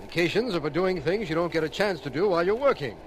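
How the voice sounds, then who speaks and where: Easygoing lawyer off duty, played by a man: highish, animated, jovial, clearly noisy vintage radio sound. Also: quick pace, natural voice. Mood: genial. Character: genial, easygoing district attorney on holiday. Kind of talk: old-time radio drama acting. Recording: noisy old radio recording.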